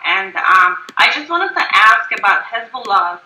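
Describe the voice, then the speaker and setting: A woman from Turkey asking a question in an online debate, halting and often restarting her sentences over a video call. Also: mid-range voice, sing-song, reverberant, a loud crowd, poor audio. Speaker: audience member asking a question.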